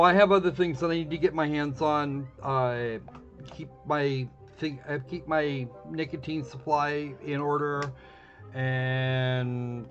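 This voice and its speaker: A man streaming a visual novel, chatting casually and sarcastically, often restarting his sentences. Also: medium pitch. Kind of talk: casual livestream commentary. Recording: dull lo-fi stream mic with game music behind. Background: faint game music.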